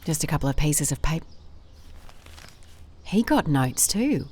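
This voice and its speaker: A woman's voice: low voice, big pitch swings, a little slow, a little mellow, husky, heavily acted. A woman playing a wry, observant private investigator in an audio drama, with a dry, conversational manner. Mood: curious, focused. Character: wry, observant female private investigator narrating her case. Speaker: lead actor in an audio drama. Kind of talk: audio drama case notes and dialogue.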